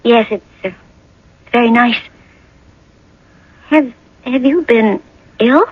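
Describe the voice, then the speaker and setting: Refined wife on lo-fi radio. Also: female voice, fairly high pitch, takes it slowly, husky, no echo. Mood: uneasy, polite. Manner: polite, hesitant, turning indignant. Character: well-off politician's wife, anxious, guarded, proud. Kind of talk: 1980s radio drama acting. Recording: dull lo-fi old radio broadcast.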